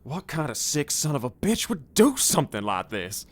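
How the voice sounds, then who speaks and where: A man's voice: a little high, big pitch swings, normal speed. A man playing a blunt, coarse, indignant vampire hunter in a gothic horror drama.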